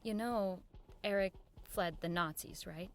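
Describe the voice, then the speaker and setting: A woman's voice: mid-range voice, measured pace, close to an everyday voice. An actress playing an idealistic, determined woman who runs a 1940s radio network, speaking in an earnest, dignified, persuasive way in an audio drama. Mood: softly coaxing. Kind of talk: audio drama acting, dialogue and wistful first-person narration.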